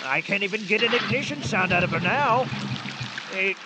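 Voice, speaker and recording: An actor playing a genial, middle-aged boat skipper in a radio drama, courteous and folksy, with a hesitant way of talking. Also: male voice, high-pitched, lively intonation, moderate pace, lo-fi sound. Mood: puzzled, reassuring. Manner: folksy, hesitant with frequent uhs. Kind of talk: old-time style radio drama acting. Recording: noisy boat engine and wind sound effects.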